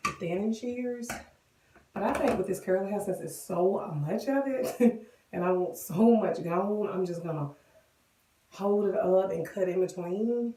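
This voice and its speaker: A woman with a cold and a hoarse voice, chatting casually to viewers as she walks them through a wig styling tutorial. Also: medium pitch, recorded from a distance, noisy background. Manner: chatty, addresses viewers directly. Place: bathroom. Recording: echoey bathroom, voice off-mic.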